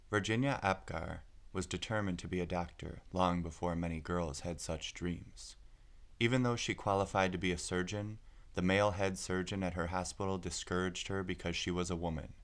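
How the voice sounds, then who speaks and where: A man with a deep voice reads a children's picture book aloud. There is plenty of vocal fry, and the scripted narration is clearly phrased with deliberate pauses. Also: fairly even intonation.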